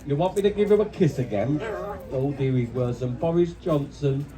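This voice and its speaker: A man's voice: on the high side, lively intonation, pitched below the performer's own voice, natural voice, poor audio. A man putting on saucy puppet wife Judy. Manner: drawn-out, saucy, scolding. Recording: loud crowd and noise. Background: loud audience of children.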